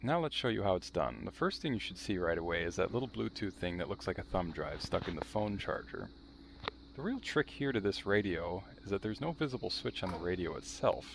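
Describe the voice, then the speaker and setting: A man's voice: sits low, big pitch swings, brisk, vocal fry, noisy background. A man narrating a how-to demonstration in a dry, matter-of-fact, wry way. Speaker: video host showing a restored vintage radio.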